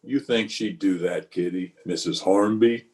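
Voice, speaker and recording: An actor playing a weary, watchful Old West marshal in a radio western, speaking and narrating in a measured, terse, grave way. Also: male voice, low voice, darkish tone. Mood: grave, wry. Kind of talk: old-time radio western acting with first-person narration.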